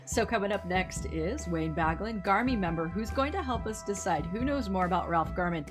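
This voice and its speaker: A woman's voice: mid-range voice, expressive, moderate pace, music in the background. An American woman hosting a casual comedy talk show, playful and self-deprecating in her banter.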